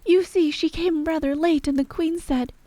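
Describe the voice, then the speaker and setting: A nervous little male rabbit from a storybook, played by a woman, pitched high above her usual voice. He speaks in hushed, fearful tones, often sinking toward a whisper. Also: steady tone, normal speed, no room sound, lightly acted. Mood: frightened.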